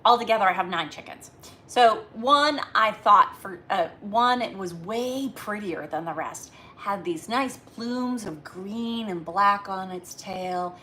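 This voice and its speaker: A woman's voice: mid-range voice, bright, forceful tone, a little hiss or hum. A woman hosting a casual livestream, chatty and rambling as she talks with her viewers. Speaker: livestream host. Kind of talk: casual live chat with viewers.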